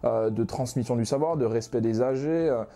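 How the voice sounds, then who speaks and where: A man's voice: mid-range voice, normal speed. A young man who grew up in Ghana, a manga author talking casually as a podcast guest, with lots of hesitations and restarts. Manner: relaxed, many hesitations and restarts.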